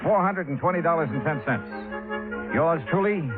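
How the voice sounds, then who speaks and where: An actor playing a brisk, wry American insurance investigator in a 1950s radio detective drama, clipped and colloquial. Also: male voice, fairly high pitch, close to an everyday voice. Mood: dry narrating. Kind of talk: 1950s radio detective acting and first-person narration. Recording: tinny 1950s radio recording with music.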